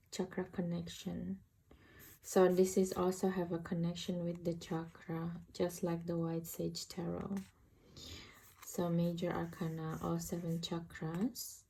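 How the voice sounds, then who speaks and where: A woman with an Indonesian accent talks slowly through a tarot deck in a casual, halting way. Her voice is fairly low with a gravelly fry, and there is faint background noise. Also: level tone, warm tone. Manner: hesitant, halting, searching for words. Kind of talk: casual unboxing and flip-through commentary.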